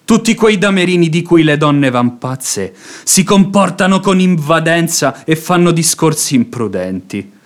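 A man's voice: a little high, sing-song, a little slow, few pauses. An actor playing a fawning, impassioned religious hypocrite in a radio drama, declaiming his pleas in florid, unctuous phrases. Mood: insinuating. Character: pious hypocrite seducer, fawning, impassioned, silver-tongued man. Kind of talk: theatrical radio drama acting.

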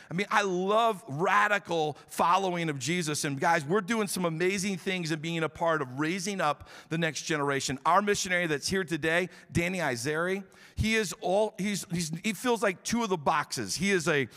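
A man's voice: high-pitched, bright, forceful tone, big-room sound. A man speaking as pastor from the pulpit, enthusiastic and rallying the congregation, in a large church auditorium.

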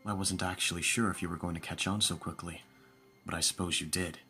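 A man voicing an aristocratic young vampire master, commanding yet doting, formal and measured, in an audio roleplay. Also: deep voice, fairly even intonation, pushed and bright. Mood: pleased, confiding. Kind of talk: ASMR audio roleplay acting. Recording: music behind the voice. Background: background music.

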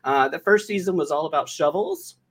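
Higher-voiced man in casual interview chat. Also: a little fast, slightly rough. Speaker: guest, audio drama writer and voiceover worker.

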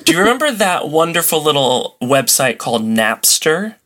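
A man's voice: fairly high pitch, sing-song, normal speed, pushed and bright. A man chatting casually as a podcast guest, telling stories in an enthusiastic, playfully dramatic way. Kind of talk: casual chat, storytelling.

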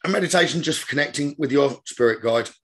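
A man leading a guided meditation as a teacher, giving gentle, repetitive instructions in a slow manner. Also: on the high side, husky.

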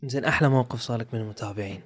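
A man hosts a relaxed podcast interview. He asks short follow-up questions at a brisk pace, in a voice of middle pitch. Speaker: podcast host. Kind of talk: casual interview.

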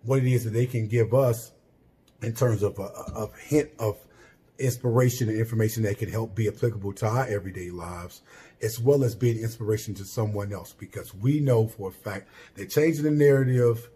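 Earnest, emphatic man with a loud voice. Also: fairly low pitch, brisk. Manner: earnest, emphatic, repeats and restarts phrases. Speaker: podcast host. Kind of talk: solo podcast monologue.

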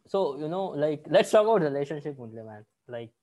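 A man in his early twenties with an Indian accent, hosting a casual chat in an informal, halting way. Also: a little high, sing-song, mellow. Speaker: podcast host.

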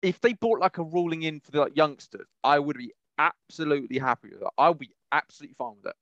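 A man's voice: fairly high pitch, expressive, quick pace, fairly bright tone, no echo, dull audio. A young British man chatting casually as a podcast co-host, rambling and often circling back mid-sentence. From United Kingdom.

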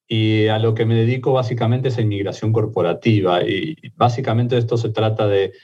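A man's voice: fairly low pitch, steady tone, a little fast, a little mellow, lo-fi sound. A middle-aged man with an Argentine accent, a guest who explains methodically in an interview, with frequent fillers and restarts. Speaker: immigration lawyer guest.

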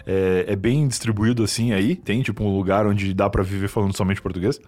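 A Brazilian man hosting a casual interview chat, relaxed, reacting briefly and asking curious questions. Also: fairly low pitch, a little fast.

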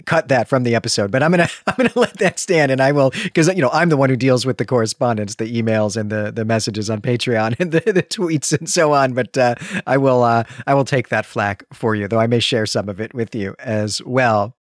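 A man's voice: mid-range voice, fairly quick, few pauses, no room sound, studio quality. A man co-hosting a podcast in casual conversation, with a wry, lightly teasing way of talking. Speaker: podcast co-host.

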